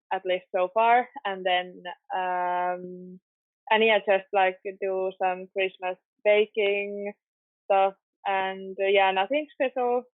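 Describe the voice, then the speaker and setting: Slow Finnish-accented young woman on tinny line. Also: medium pitch, no room sound. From Finland. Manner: slow, hesitant, many uh fillers. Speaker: podcast guest. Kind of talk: casual interview chat. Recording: tinny phone-like call audio.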